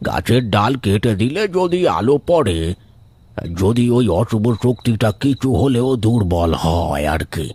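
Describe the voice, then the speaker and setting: Guy doing a stern old village headman, low husky voice, bossy and questioning, spooky cartoon. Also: a little mellow, heavily acted. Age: older. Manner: questioning, authoritative. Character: stern village elder man presiding over a worried meeting. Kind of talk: Bengali cartoon horror story acting.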